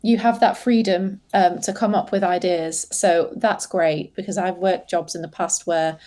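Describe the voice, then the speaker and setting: An English woman chats as a podcast guest about her work. Her voice is mellow and relaxed, and she talks in loose, hesitant runs full of fillers and restarts. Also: fairly low pitch, unhurried. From England. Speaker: guest, social media manager. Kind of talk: casual podcast interview.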